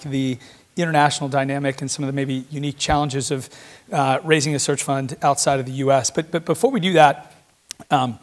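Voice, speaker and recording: A man in his thirties moderating a fireside chat on a conference stage, asking polished questions with a few fillers. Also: mid-range voice. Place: large auditorium stage.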